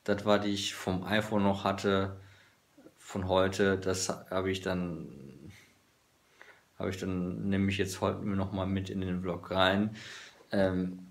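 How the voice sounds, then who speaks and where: A man in his thirties, hoarse, vlogging casually to a phone camera in a small room, halting with many fillers. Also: low voice. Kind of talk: casual vlog talk to camera. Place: small bedroom office indoors. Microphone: phone camera at arm's length.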